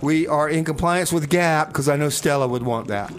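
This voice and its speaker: A man's voice: on the high side, moderate pace, a little hiss or hum. A man hosting community radio with casual comedic banter, stammering and repeating himself. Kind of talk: casual music show banter with comedy bits.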